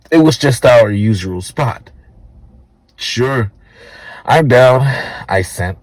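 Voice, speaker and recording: A man reads a first-person audiobook in a fairly low voice. He takes his time, telling the story conversationally and with plenty of swearing, like a narrator speaking directly to the listener. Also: a little hiss or hum, poor audio. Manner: conversational, profane, storytelling delivery.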